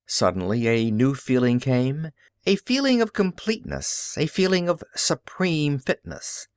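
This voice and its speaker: A man's voice: medium pitch, lively intonation, a little slow, clean tone, no room sound, studio quality. A man reading classic fiction aloud as an audiobook narrator, in a theatrical, emphatic, dramatic style.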